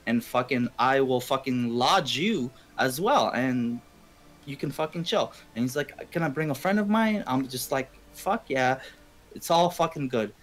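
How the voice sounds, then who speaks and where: A man's voice: mid-range voice, lively intonation, a little slow. A man from Canada who streams games, telling personal stories in a casual, repetitive ramble full of swearing. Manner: profane, heavy swearing, repetitive. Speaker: game livestreamer. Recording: music playing behind the voice. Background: video game music and game dialogue.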